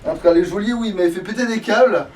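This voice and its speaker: A man's voice: high-pitched, fast, darkish tone. A man chatting casually as he plays a video game, with slangy, sweary talk. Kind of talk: casual let's play chat while playing. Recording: distant mic, noisy background.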